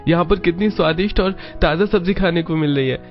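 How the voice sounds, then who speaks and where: A man's voice: high voice, brisk, close to an everyday voice. A man playing a kind, supportive young husband in a cartoon family story, in a dull recording with music behind. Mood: admiring. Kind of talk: Hindi cartoon story dialogue.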